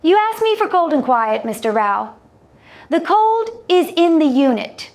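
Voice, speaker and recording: A woman plays an alien trader from a science-fiction audio story. The voice is high and very unhurried, setting out each formal clause with exact care. Beneath the legalistic precision sits a quiet mercy. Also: sing-song, full character voice. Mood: cool, exacting. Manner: formal, clipped legalistic phrasing.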